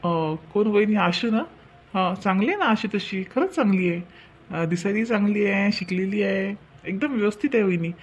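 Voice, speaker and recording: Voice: mid-range voice, expressive, normal speed, breathy edge, highs cut off. A performer voicing a bashful, eager young man in an amateur cartoon family drama, with a Vidarbha Marathi accent. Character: bashful young man smitten with a prospective bride.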